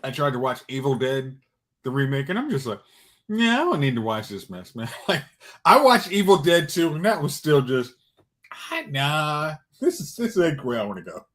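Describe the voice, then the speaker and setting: Man with very lively, swooping intonation. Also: on the high side, normal speed. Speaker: co-host on a movie talk show. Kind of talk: casual banter.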